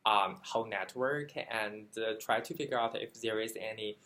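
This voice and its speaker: A man from China, Chinese by first language, answers a graduate-program interview in a bright, clear voice. He speaks carefully and haltingly, filling his pauses with ums and uhs as he looks for the words. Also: fairly low pitch. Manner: careful, halting with many fillers. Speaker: graduate student.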